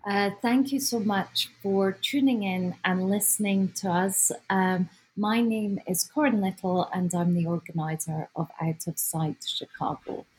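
A woman with a Scottish accent informally presenting an event as its organizer, speaking haltingly as she searches for words. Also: medium pitch, darkish tone, slightly rough, low-quality recording. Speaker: symposium organizer, guest speaker.

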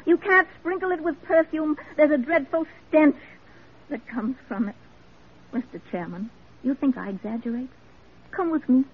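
A woman's voice: high voice, normal speed, narrow, tinny audio, slight background noise, lightly acted. An actress playing an earnest, unyielding woman crusader in an old radio drama, formal, impassioned and blunt. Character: earnest, unyielding female crusader for the mentally ill. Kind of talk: classic American radio drama acting.

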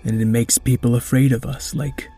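A man voicing a weary, wise old male triceratops in a children's story, speaking slowly and low over faint music. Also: level tone, mellow, close to an everyday voice. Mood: grave. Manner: slow, low, grave.

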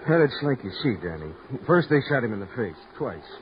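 An actor playing a boastful, showboating harbor police officer who bellows at crowds, in a 1940s radio drama. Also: male voice, medium pitch, very animated, brisk, close to an everyday voice. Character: showboating, loudmouthed male harbor cop. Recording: lo-fi old radio broadcast with crowd and noise.

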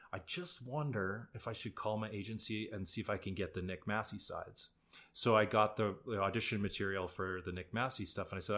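A man's voice: lowish voice, fairly bright tone. A young adult man, a musical theatre actor, telling audition stories in a laid-back, self-deprecating, chatty way. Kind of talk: casual storytelling interview.

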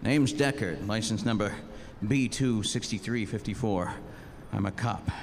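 An actor playing a world-weary private-eye type cop in a 1940s-style radio drama, terse and slangy. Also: male voice, fairly low pitch, a little slow, a little hiss or hum. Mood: weary, grim. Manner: terse, slangy, hard-boiled. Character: world-weary hard-boiled male detective narrating his case. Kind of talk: 1940s noir radio narration and acting. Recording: live stage radio play under loud music.

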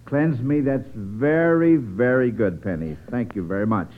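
A man's voice: medium pitch, measured pace, background noise. A man hosting a 1940s radio quiz for children in an encouraging, folksy, slightly hesitant way. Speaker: quiz show host. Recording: muffled old radio broadcast.

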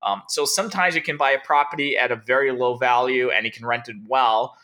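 A man's voice: mid-range voice, fairly quick, sharp tone. A man from Brazil, a tech founder and guest in an interview, explaining in a rambling way with lots of "like" and restarts. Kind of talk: casual interview talk.

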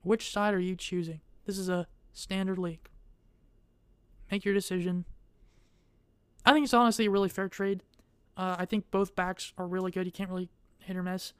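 A young adult woman vlogging in a casual, rambling way. She speaks in a monotone and hesitates often, with many fillers. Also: fairly low pitch, normal speed, smooth, no echo.

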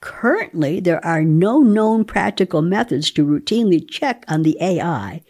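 A woman reading research text aloud in a deliberate, articulate way, breaking off for wry spontaneous asides. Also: fairly low pitch, lively intonation, darkish tone. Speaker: guest reader and commentator.

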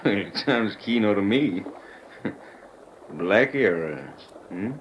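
An actor playing an earnest army major undercover as a gunfighter, telling his story gravely in an old-time radio drama. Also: lowish voice, expressive, slow, highs cut off. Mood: wary, bluffing. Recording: noisy vintage radio recording.

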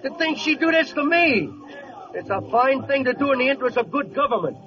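A man's voice: extremely high pitch, animated, fairly quick, a crowd in the background. An actor playing a blustering, indignant small-time hoodlum boss in a 1940s radio comedy, talking in tough-guy slang. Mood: aggrieved. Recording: muffled, noisy old radio broadcast.

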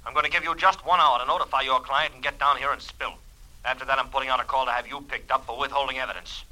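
Brusque American police detective, very sharp forceful voice, fast, clipped, impatient, old radio crime drama. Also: male voice, medium pitch, fairly even intonation, poor audio. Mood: stern, impatient. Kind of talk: 1940s radio crime acting.

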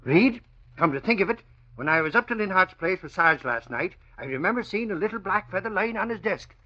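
Blustery, high-voiced Irish reporter. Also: male voice, animated, a little fast. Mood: puzzled, earnest. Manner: blustery, folksy. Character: excitable, boastful male newspaper reporter. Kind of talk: 1940s radio acting. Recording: dull vintage radio recording.

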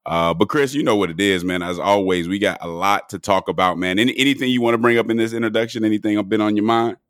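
Deep-voiced man, a bit hoarse. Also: fast talker, dry recording, studio quality. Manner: relaxed, conversational, with restarts. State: voice slightly hoarse and cracking. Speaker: podcast host. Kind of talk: casual podcast chat.

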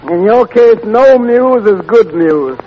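Dull 1940s radio sound, a dry-witted waiter needling the boss, high voice, very lively pitch. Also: male voice, slow pace. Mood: wry. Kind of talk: 1940s radio comedy acting. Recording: muffled 1940s radio with faint noise.

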